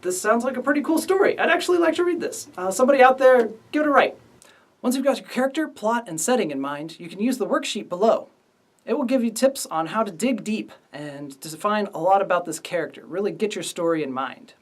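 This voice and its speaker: A man's voice: sits high, expressive, brisk, recorded from a distance. A man hosting a casual writing lesson as the instructor. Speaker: writing instructor and series host.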